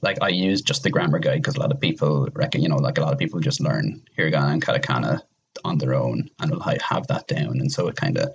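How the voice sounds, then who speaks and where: A man's voice: extremely low pitch, fast, creaky, lo-fi sound, slight background noise. A man explaining things in casual podcast chat, rambling a little and circling back over his points. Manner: rambling, repeats himself for emphasis. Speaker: podcast panelist.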